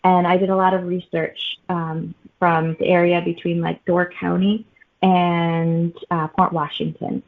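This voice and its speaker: A woman author answering interview questions conversationally, pausing often in the middle of phrases. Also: on the low side, flat delivery. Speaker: author guest. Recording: muffled phone-like narrow-band audio.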